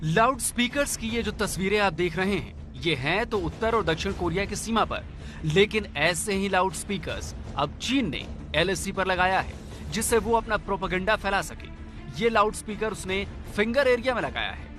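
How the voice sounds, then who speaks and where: A male reporter narrates a scripted news story with dramatic emphasis. His voice is high-pitched and sharp, and faint music plays behind him. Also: expressive, normal speed.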